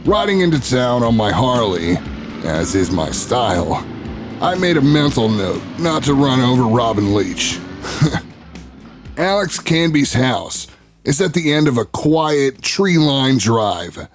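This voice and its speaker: Slow, swaggering talk show host. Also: male voice, medium pitch, big pitch swings, over music. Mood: cocky, wry. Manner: folksy, swaggering, dramatic pauses and sighs. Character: brash male talk show host telling an investigative story. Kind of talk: scripted first-person narration in an audio drama.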